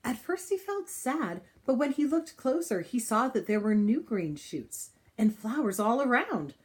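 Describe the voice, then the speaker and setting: A woman reading a children's picture book aloud in an expressive style, with deliberate, dramatic phrasing and pauses. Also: a little high. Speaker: storybook reader.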